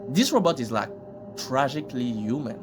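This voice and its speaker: Guy doing slangy video commentary, jokey, voice goes up and down a lot, loud music behind. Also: medium pitch, animated, moderate pace. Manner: informal, joking, slangy. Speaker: host of reaction commentary videos. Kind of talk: casual scripted commentary with slang.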